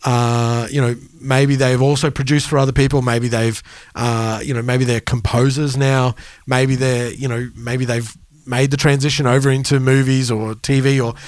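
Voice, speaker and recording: A man's voice: mid-range voice, little pitch movement, normal speed, hardly any pauses, dry recording. A man co-hosting a music podcast, talking in a casual conversational style. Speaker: podcast co-host.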